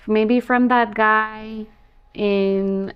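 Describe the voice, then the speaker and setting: A woman's voice: mid-range voice, steady tone, very slow, smooth. A woman chatting casually as a player in a tabletop game, on slightly dull audio.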